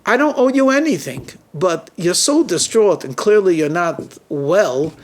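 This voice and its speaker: Man acting a high-voiced, slippery storybook businessman. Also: big pitch swings, unhurried. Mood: grudging. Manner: evasive, falsely reasonable. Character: cold-blooded, lying businessman guarding his reputation.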